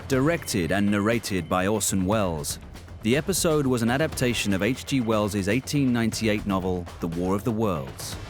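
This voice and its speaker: A man narrates a documentary from a script in a low voice. Music plays clearly behind him. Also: expressive, moderate pace.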